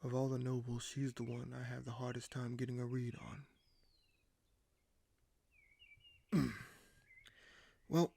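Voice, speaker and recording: An actor playing a scheming, courteous young king in an audio roleplay, speaking formally and hesitantly with deliberate pauses. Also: mid-range voice, level tone, vocal fry, mellow, no echo. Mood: courteous, musing. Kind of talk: audio roleplay acting, addressed to the listener.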